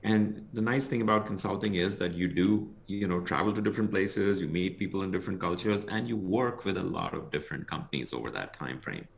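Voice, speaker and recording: A middle-aged man from India, a guest author and consultant, answering interview questions in a measured, explanatory way with many fillers. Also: low voice, vocal fry. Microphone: remote call recording.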